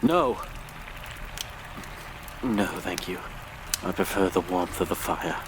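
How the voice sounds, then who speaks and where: A man's voice: deep voice, takes it slowly, background noise. A man playing an anxious, fussy, bitter grave robber with a nervous, theatrical manner in a gothic horror audio drama.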